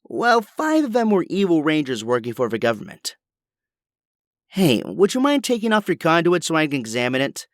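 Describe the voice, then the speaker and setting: A brainy, earnest young male hero acted by a man in an audio drama, speaking with lively, swinging intonation. He is precise and polite about technical matters, and the sound is dry and close. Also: fairly high pitch, normal speed, no room sound. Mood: focused, friendly.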